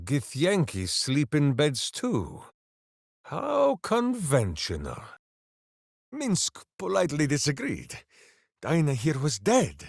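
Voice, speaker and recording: A big, simple-hearted video game warrior with total heroic earnestness. His delivery is unhurried and theatrical, with very lively swings of pitch. The sound is dry and close, and every phrase is grandiose. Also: breathy edge, dry recording, exaggerated voice. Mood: earnest, solemn. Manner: grandiose, earnest, speaks of himself in third person. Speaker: video game companion character.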